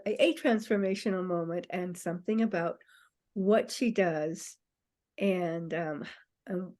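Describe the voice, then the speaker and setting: Slow, halting woman host in her sixties. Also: mid-range voice, a little mellow. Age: 60s. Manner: halting, with many fillers. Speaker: interview host. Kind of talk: casual interview hosting.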